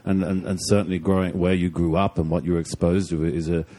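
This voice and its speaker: A man from Australia hosting a podcast, speaking with a low voice and a warm, mellow tone. He asks long, halting questions, often repeating words as he finds his way. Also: little pitch movement. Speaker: podcast host. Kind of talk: casual interview.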